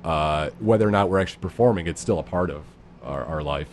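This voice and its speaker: A man in his thirties with a low voice, a guest in a casual podcast chat, talking in a laid-back, self-deprecating, rambling way. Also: fast talker, slight background noise. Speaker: improv guest.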